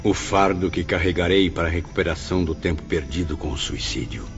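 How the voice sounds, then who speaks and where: A man's voice: low voice, brisk. Male actor as humble penitent spirit, music behind, muffled, solemn, heartfelt, formal audio drama delivery. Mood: grateful, solemn.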